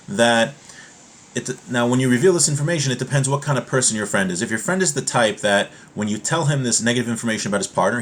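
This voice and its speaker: A man giving an informal religious lesson, explaining step by step in a halting way and rephrasing his points as he goes. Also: mid-range voice, slight background noise. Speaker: religious teacher giving a class.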